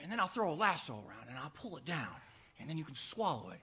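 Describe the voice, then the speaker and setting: A man acting an earnest, excitable young small-town man in a 1940s-style radio play, with a hesitant, folksy stammer. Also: a little high, fast, husky, heavily acted. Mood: romantic, rambling.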